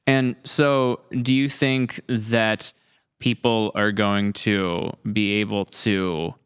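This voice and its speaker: A man hosts a casual interview podcast with a clean, smooth voice that sits slightly low. He is enthusiastic and jokes easily with his guests as he asks his questions. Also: steady tone, slow pace. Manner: enthusiastic, jokey. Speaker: podcast host.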